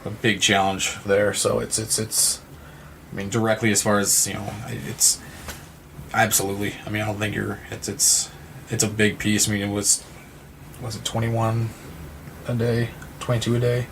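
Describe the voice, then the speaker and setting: A man with a fairly low voice gives conversational interview answers. He starts, stops and repeats words as he works toward his point. Faint noise and room ambience sit behind him. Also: level tone, gravelly, fairly bright tone. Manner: halting, repeats words and restarts. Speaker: guest from a suicide-loss nonprofit.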